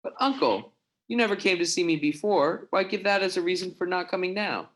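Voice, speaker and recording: A man's voice: high voice, a little fast, a bit bright. A man playing a kind, cheerful and earnest nephew who loves Christmas, pleading expressively in an amateur radio play. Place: performed remotely over a video call. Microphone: video call.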